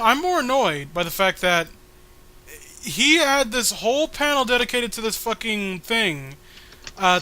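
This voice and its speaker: A man co-hosting a comic read-along, riffing casually in an exasperated, profane, halting way. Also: high-pitched, sharp tone. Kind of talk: casual comedic riffing and commentary.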